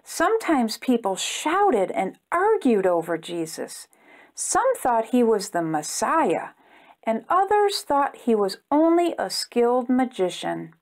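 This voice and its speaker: A woman reading a storybook aloud to young children in an expressive storytime style. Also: fairly high pitch, slow pace. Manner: expressive, simple phrasing aimed at young children. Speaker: children's storytime reader and host.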